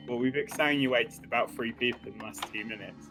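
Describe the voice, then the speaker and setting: A man's voice: mid-range voice, fast, over music. A man co-hosting a gaming video in casual banter, joking dryly and swearing now and then. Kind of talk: casual banter over gameplay.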